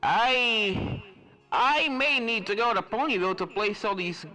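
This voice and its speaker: A woman's voice: on the high side, expressive, a little slow, bright, forceful tone, faint music behind, voice pushed up, low-quality recording. A woman voicing a mild, polite, hesitant professor character in a story reading.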